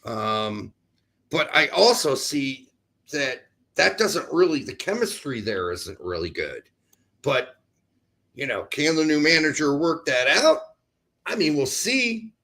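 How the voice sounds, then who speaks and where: A man's voice: fairly high pitch, sing-song, takes it slowly, sharp tone. A man with an American accent chatting casually about sports as a livestream co-host, blunt and opinionated.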